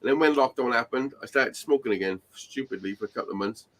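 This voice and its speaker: A man's voice: mid-range voice, fast, fairly bright tone. Crude, teasing man in his forties. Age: 40s. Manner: crude, teasing, swears freely. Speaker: panel regular. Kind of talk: casual banter on a live stream.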